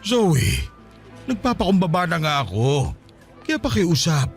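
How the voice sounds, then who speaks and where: Creaky, high-strung drunken, bitter father. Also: sing-song, measured pace, soft background music. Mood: bitter, accusing. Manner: wheedling, then accusing. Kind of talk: Filipino radio drama acting.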